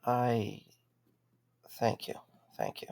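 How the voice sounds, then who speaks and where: A man playing an awkward, weary young man in an audio drama, with a halting, stammering delivery and a creaky voice. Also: medium pitch, sing-song, darkish tone, full character voice. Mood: polite, uneasy.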